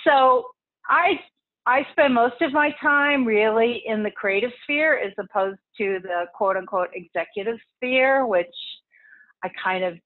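A woman's voice: on the high side, a little slow. A woman from Texas, a podcast guest who founded an institute, talking casually and chattily over the phone. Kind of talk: casual interview talk. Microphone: phone line. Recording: tinny phone line.

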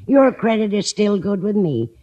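Actress as a kindly older landlady, animated delivery. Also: mid-range voice, normal speed, muffled recording, lightly acted. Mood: fussy, earnest. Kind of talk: 1950s radio comedy acting. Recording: old radio broadcast recording.

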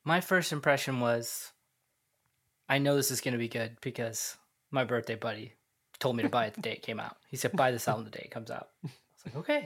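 A man's voice: mid-range voice, a little fast, fairly bright tone, breathy edge. A man co-hosting a music podcast, playfully telling anecdotes with quoted asides. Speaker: podcast co-host. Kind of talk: casual chat about music.

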